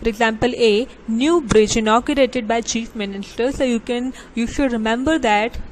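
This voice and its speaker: A fairly high-voiced woman teaches English grammar to her students, reading each rule and example slowly before going over it again in plainer words. She often restarts and pauses with small fillers. A faint hiss of background noise sits under her voice. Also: low-quality recording. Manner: slow, deliberate reading of examples with frequent restarts. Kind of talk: grammar lesson, reading rules then explaining.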